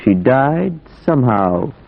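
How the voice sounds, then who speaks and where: Grave old-radio professor, very swoopy intonation, tinny narrow sound. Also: male voice, mid-range voice, sing-song, vocal fry, heavily acted. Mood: grave, sorrowful. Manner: deliberate, halting foreign phrasing. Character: grave, courteous foreign professor, a man of science. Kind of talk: 1940s radio acting.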